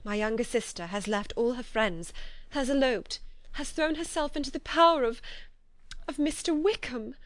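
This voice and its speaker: A woman reading the lines of a distraught young gentlewoman, her voice raised, high and strained with agitation. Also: fairly quick, no echo, close to an everyday voice.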